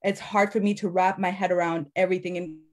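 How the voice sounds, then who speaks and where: Young woman guest from Afghanistan, low-pitched and flat, earnest, lots of "like"s. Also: fast. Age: young adult. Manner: earnest, filler-heavy with many "like"s and restarts. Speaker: guest, community organizer. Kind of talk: livestream conversation.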